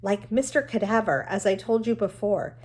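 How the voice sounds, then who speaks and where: A woman's voice: mid-range voice, moderate pace, a little mellow, slightly rough, natural voice. A woman reading a storybook part as a stubborn, suspicious young teenage girl who talks like an insistent know-it-all.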